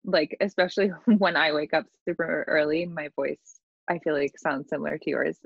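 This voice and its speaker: A woman with a deep, chesty voice with some vocal fry. She teases her co-host and giggles as she chats. The video call audio is dull and dry. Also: fairly even intonation, moderate pace, no room sound. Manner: teasing, giggly. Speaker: podcast co-host. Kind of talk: casual chat over video call.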